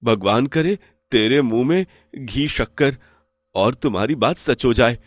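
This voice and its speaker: A man's voice: medium pitch, animated, a little slow, no room sound, studio quality. Troubled, honest poor farmer played by a man. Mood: hopeful. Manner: pleading, lamenting. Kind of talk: moral story dialogue.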